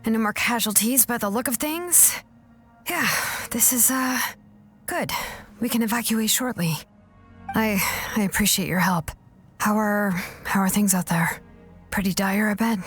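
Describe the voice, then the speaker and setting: A weary, determined young woman warrior played by a woman actor speaks earnestly in a medium-pitched voice. It is video game acting, with music underneath. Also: natural voice. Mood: shaken, weary. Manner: earnest, halting when shaken.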